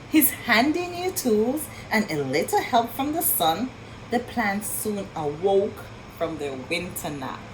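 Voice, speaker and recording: Lady doing a slow storytime read for little kids, lots of up and down, noise in the background. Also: medium pitch. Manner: asks questions and marvels at pictures, speaking to children. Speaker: storyteller reading a picture book aloud. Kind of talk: expressive children's read-aloud with asides to young listeners.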